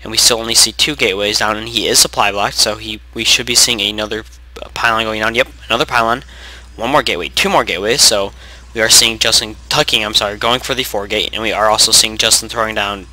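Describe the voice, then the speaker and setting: Hoarse young man casting a game. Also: on the low side, steady tone, quick pace, sharp tone, poor audio. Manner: rambling play-by-play, self-correcting, frequent throat clearing. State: losing his voice from sickness. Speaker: game caster. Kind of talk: casual game commentary.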